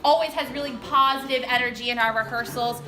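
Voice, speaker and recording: A woman's voice: on the high side, fairly even intonation, quick pace, sharp tone. Female drama club teacher presenting awards: gushing, chatty, informal, picked up from far off in a reverberant room. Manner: enthusiastic, gushing, colloquial. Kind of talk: informal award speech to parents. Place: indoor performance space before an audience. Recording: echoey room, distant noisy mic.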